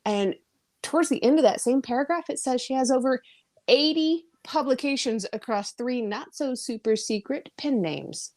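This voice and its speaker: A woman's voice: high-pitched, expressive, normal speed, darkish tone. A woman hosting a podcast interview, enthusiastic and bubbly, laughing often. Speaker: podcast host. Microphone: audio-only call.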